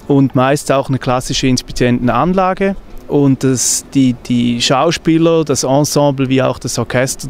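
Man, technician, Swiss German accent, medium pitch, halting explanatory delivery on a handheld mic, noticeable outdoor noise. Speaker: network and intercom technician. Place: outdoors on an open-air stage. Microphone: handheld microphone held at chest. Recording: clear outdoor traffic and ambient noise.

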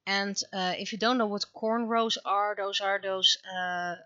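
A woman's voice: medium pitch, fairly even intonation, unhurried, pushed and bright, smooth, no room sound, muffled recording. A woman chatting casually on a livestream while she draws, musing half to herself and trailing off. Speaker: livestreaming webcomic artist.

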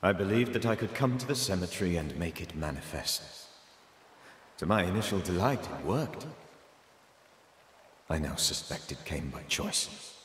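A man's voice: low voice, animated, a bit bright. A man playing the ghost of an older reverend and mentor in a video game, grave, pleading and formal. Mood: haunted. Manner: solemn, measured, formal phrasing. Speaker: reverend and former banisher. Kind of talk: dramatic video game acting. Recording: echoey hall reverb, faint noise, ambient music.

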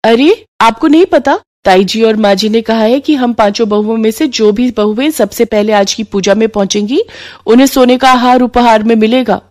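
A woman's voice: on the high side, moderate pace, a little mellow, close to an everyday voice. A woman voicing a dutiful, crafty young daughter-in-law in a cartoon story. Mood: coaxing.

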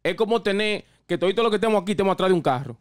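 A man with a Dominican Spanish accent talks extremely fast in a high voice. He explains things informally, sprinkling in English and checking that listeners follow. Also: expressive. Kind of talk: casual podcast debate, Spanish with English phrases. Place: small indoor podcast studio. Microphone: close studio microphone on boom arm.

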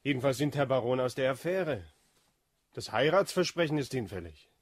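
An actor playing an insinuating, obsequious servant to a baron in a German radio drama. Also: male voice, mid-range voice, lively intonation, normal speed, lightly acted. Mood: knowing, obliging.

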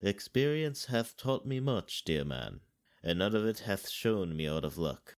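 An actor playing a wise old Jedi mentor, calm and solemn, in a measured Shakespearean dramatic reading. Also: male voice, fairly low pitch, clear, resonant tone. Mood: sage, encouraging. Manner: measured, archaic theatrical verse.

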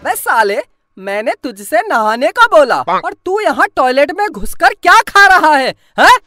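A woman voicing a gruff, blustering cartoon father. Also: high voice, very animated, measured pace, noisy background. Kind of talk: Hindi cartoon voice acting.

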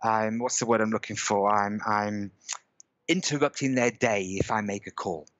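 A man's voice: fairly low pitch, steady tone, normal speed, fairly bright tone, no echo. A middle-aged man tells his story in an easy, chatty way from a home room, stopping again and again to check that his listener is following. He speaks through a headset on a video call. The sound is a little dull and very dry. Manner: chatty storyteller, stops often to check in with "okay?" and "right?". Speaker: guest. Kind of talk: casual chat on a video call.

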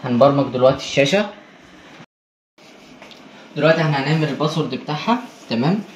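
An Egyptian man talks viewers through an installation in a casual, practical way. His speech races along at a very quick pace. There is light noise around him in the indoor on-site recording. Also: fairly high pitch, lively intonation, echoey room. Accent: Egyptian Arabic. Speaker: installer presenting an installation video. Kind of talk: casual hands-on tutorial commentary. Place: indoors in a marble-walled room. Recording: noisy handheld on-site recording.

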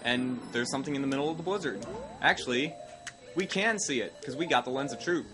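Young Midwestern guy with a cold, mid-pitch, chatty and self-deprecating while he plays. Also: expressive, quick pace. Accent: Midwestern American. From American Midwest. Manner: rambling, self-mocking, with sudden outbursts. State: sick, coughing. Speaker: let's play host. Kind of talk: casual gameplay commentary. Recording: game music playing loud underneath. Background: game music.

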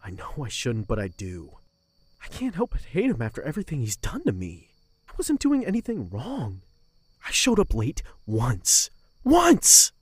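A man's voice: medium pitch, wildly swooping pitch, normal speed, husky, dry recording. A heartbroken, emotionally volatile young man. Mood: subdued, sad, then angry, anguished. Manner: emotional, swinging from hushed to outburst. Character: heartbroken young man, bitter, wounded royal servant. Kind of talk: audio drama acting.

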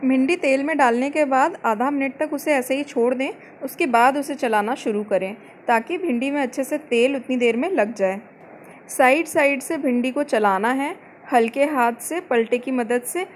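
A woman giving calm step-by-step cooking instructions as the host of a home recipe channel, mixing English words into her Hindi. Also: high-pitched, a little hiss or hum. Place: home kitchen.

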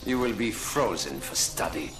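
An actor voicing a cold, grave, obsessed scientist supervillain in a video game. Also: male voice, lowish voice, animated, moderate pace, natural voice. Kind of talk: video game character acting. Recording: loud music behind.

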